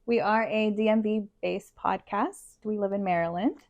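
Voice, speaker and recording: A woman's voice: mid-range voice, fairly even intonation, moderate pace, lo-fi sound. Chatty woman in her twenties, co-hosting. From El Salvador. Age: 20s. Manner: chatty, agreeable, lots of yeah and um. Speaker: podcast co-host. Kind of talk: casual chat.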